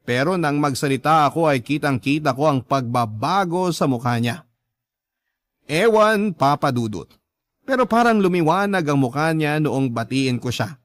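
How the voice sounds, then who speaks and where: A man's voice: fairly high pitch, lively intonation, normal speed, no room sound, clean studio sound. A man with a Filipino accent reading a first-person story as the narrator of a radio drama.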